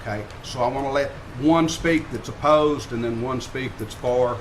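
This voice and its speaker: A man runs a public council meeting at an ordinary mid pitch. He works through procedure formally, heard over clear room noise on the meeting microphone. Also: normal speed. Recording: noisy council chamber microphone.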